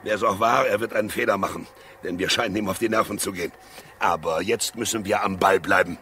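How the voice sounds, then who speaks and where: An actor playing a gruff, bossy and wry detective partner in a German crime radio play. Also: male voice, on the low side, fast talker. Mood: decisive. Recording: loud vehicle and outdoor ambience noise.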